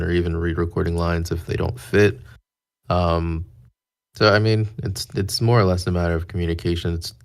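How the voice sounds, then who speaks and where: A man talking as a podcast panelist, explaining things casually in a measured, practical way. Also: deep voice, gravelly.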